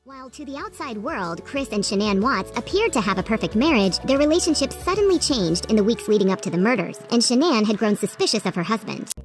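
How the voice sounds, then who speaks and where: A woman's voice: fairly high pitch, lively intonation, fairly quick, hardly any pauses. A woman delivering scripted true-crime narration as a video narrator. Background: faint music.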